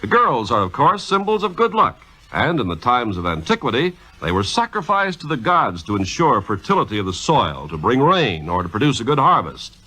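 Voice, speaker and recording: Male vintage radio narrator: formal, declamatory, very animated, crisp bright tone. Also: medium pitch, normal speed, poor audio. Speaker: radio intermission speaker. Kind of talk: 1950s scripted radio narration.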